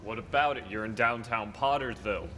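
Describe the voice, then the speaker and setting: A man's voice: fairly low pitch, brisk, pushed and bright. A man acting a brusque small-town policeman in a radio play. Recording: very noisy stage recording.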